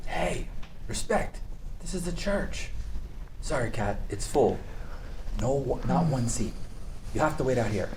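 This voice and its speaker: A man's voice: mid-range voice, animated, darkish tone, lightly acted. A man playing a placid, restrained figure in a church scene at a table read of a TV drama. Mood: apologetic, soft. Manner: unhurried, restrained. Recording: echoey room with faint noise.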